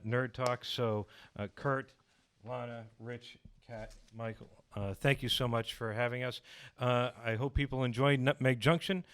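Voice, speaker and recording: A man speaking as an interview guest, grateful and halting, often repeating words as he goes. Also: medium pitch, bright, forceful tone, smooth. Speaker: guest, radio-drama creator and writer. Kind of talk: interview talk.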